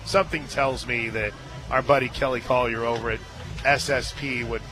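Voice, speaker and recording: A man calling softball play-by-play on the radio, chatting casually between pitches and lifting with excitement on big plays. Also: normal speed, over music. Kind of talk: live softball commentary with casual booth chat. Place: stadium radio booth.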